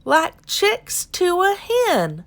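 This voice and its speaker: A woman's voice: extremely high pitch, huge pitch swings, drawn-out words, fairly bright tone, pitched above the performer's own voice, exaggerated voice. A woman voicing a kind, hopeful young male cowboy in a children's storybook, with a folksy, earnest delivery. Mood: bright, earnest. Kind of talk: children's storybook character reading.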